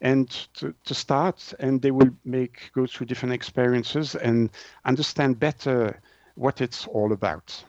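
A man's voice: mid-range voice, steady tone, a little mellow, no room sound. A man with a French-Swiss accent, a native French speaker, explaining things in an interview and searching for words as he goes. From Switzerland. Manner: halting, searching for words in non-native English. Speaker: interview guest, naturopath and author. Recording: dull lo-fi audio.

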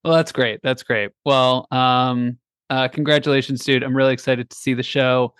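A man's voice: medium pitch, steady tone, moderate pace, fairly bright tone, clean tone, dry recording, studio quality. A man hosting a casual sports podcast, playful and jokey as he introduces his co-hosts. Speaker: podcast host.

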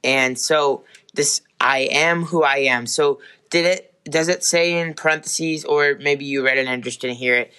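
A man co-hosting a casual faith podcast, slightly hoarse, rambling with many restarts and fillers. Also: on the high side, unhurried, bright, forceful tone. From United States. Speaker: podcast co-host.

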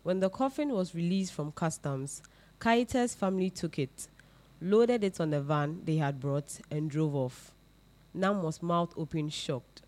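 A woman's voice: lowish voice, a little slow, darkish tone. A woman reading literary fiction aloud as a scripted narration. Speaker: reader.

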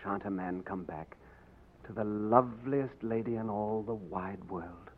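An actor playing a suave, gallant romantic hero in a 1940s radio drama. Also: male voice, fairly low pitch, measured pace, slightly rough. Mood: tender. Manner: suave, tender, heroic. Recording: dull lo-fi 1940s radio broadcast.

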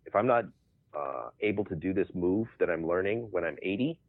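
A man's voice: low voice, expressive, brisk, no echo. A man who is a podcast guest, chatting casually and explaining his points in a thoughtful way. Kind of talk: casual conversation. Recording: tinny phone-like line.

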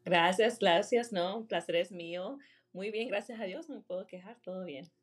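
A woman from Rhode Island, a real estate agent, chatting in a relaxed interview and dropping English fillers between her sentences. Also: mid-range voice, normal speed, breathy edge. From Rhode Island, USA. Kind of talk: casual interview.

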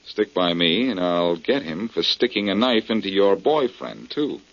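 An actor playing a shrewd, confident, wisecracking male detective in a brisk 1940s radio style. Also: lowish voice, music in the background. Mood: shrewd, pressing. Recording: muffled, worn old radio broadcast.

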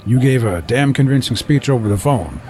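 An actor playing a blunt, protective middle-aged uncle who talks steadily. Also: male voice, mid-range voice, animated, fairly quick, a little mellow, close to an everyday voice.

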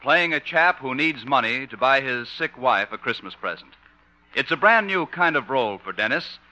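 A man's voice: medium pitch, sharp tone. A man working as a network announcer, reading scripted promos in 1950s radio style. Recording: muffled vintage 1950 radio broadcast.

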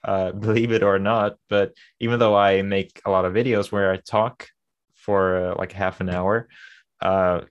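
A young man from Sweden with a light, Americanized Swedish accent, chatting casually as a podcast guest and pausing on many fillers. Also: low voice, flat delivery, clear, resonant tone, dull audio. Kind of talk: casual chat.

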